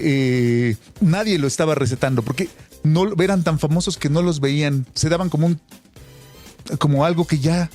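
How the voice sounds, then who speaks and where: A man's voice: fairly high pitch, a little mellow. A man hosting a podcast interview, chatty and affirming, who often answers with short agreements and asks his questions in a loose, searching way. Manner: affirming, many "claro" and "ajá" responses. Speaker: podcast host.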